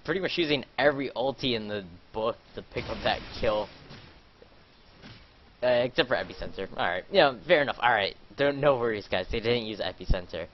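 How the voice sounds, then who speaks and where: A man casting a video game live, sounding nasal and a little stuffed up. He rambles with lots of fillers and gets louder during the fights. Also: medium pitch, brisk, muffled recording, slight background noise. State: congested, a little sick. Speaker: esports caster.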